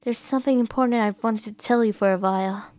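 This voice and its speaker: A woman's voice: on the high side, quick pace, darkish tone, dull audio, soft background music, close to an everyday voice. A woman voicing a blunt, exasperated teenage daughter in an amateur comic dub.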